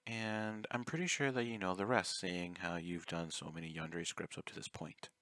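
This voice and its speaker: Man acting clingy yandere fan, low voice, intimate, coaxing, courteous menace, dry close sound, ASMR roleplay. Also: fairly even intonation, moderate pace, fairly bright tone, dry recording. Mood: coaxing, possessive. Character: obsessive, clingy, soft-spoken young male yandere fan, politely menacing.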